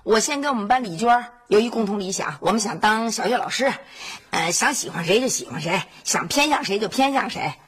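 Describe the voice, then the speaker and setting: A woman's voice: medium pitch, lively intonation, fairly quick, husky. A woman playing a chatty, easily exasperated middle-aged mother in a family sitcom, speaking with a Beijing accent. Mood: fond reminiscing. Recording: faint background noise.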